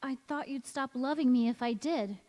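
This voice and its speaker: A woman's voice: sits high, normal speed, mellow, close to an everyday voice. A woman acting in a stage radio play as an anguished, devoted young wife who is cursed never to age, speaking in an earnest, melodramatic way. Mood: anguished, pleading. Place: large reverberant church or hall. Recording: echoey hall, music behind.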